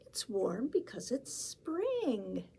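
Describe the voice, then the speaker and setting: Big Mouse, a soft-spoken patient grown-up mouse played by a woman. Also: fairly high pitch, wildly swooping pitch, very slow, vocal fry, slightly rough, voice pushed up, full character voice. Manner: soft, half-whispered, sing-song rhymes. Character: calm grown-up mouse who patiently explains.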